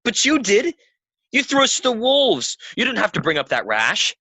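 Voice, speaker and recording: A man's voice: sits high, huge pitch swings, normal speed, sharp tone, dry recording, full character voice. A man acting a restless, defiant theatre player in an audio play, agitated, bitter and argumentative. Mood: angry. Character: restless, defiant, hot-tempered young male theatre player.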